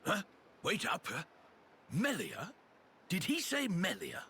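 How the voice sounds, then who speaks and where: Loyal fantasy game warrior, theatrical and formal, bright forceful voice, very animated. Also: male voice, high voice, takes it slowly, slight background noise, exaggerated voice. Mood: earnest, surprised. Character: excitable, loyal male warrior, reverent of royalty. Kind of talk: video game cutscene acting.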